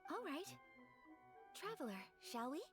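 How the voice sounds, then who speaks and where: A woman playing a gentle, polite young noblewoman in an anime game, earnest and a little shy. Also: high voice, very animated, measured pace. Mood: shy, sincere. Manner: polite, soft-hearted, earnest. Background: music.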